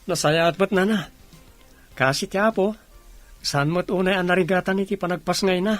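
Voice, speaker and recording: A man's voice: high voice, steady tone, normal speed, faint music behind, close to an everyday voice. A man playing a helpful, respectful tricycle driver in an audio drama. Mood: friendly, helpful.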